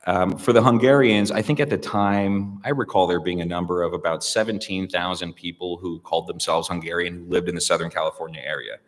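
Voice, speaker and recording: A man with a very low voice speaks slowly and reflectively, pausing often with fillers and restarting his sentences. He is a guest in a public conversation, and his voice rings with the long reverb of a large hall. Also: steady tone. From United States. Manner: thoughtful, hesitant, many fillers and restarts.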